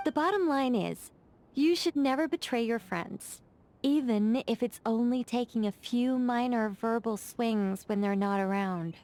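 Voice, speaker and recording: A woman's voice: fairly high pitch, unhurried, darkish tone. An actress voicing a poised, playful female mage in a game, talking in a wry, teasing, chatty way. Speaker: game character. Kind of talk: game voice lines.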